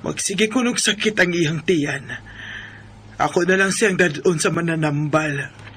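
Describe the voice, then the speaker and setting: A woman's voice: on the low side, unhurried, fairly bright tone, slight background noise. A woman playing a caring provincial mother in a radio drama. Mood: concerned. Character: caring provincial mother giving her child instructions.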